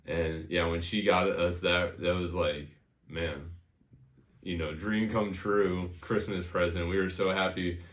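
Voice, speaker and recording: A man's voice: low voice, fairly even intonation, a little slow, echoey room. A man in his thirties from Connecticut with an American accent, casually telling personal stories in an informal, reminiscing way as an interview guest. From Connecticut, United States. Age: 30s. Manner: informal, reminiscing, frequent "you know".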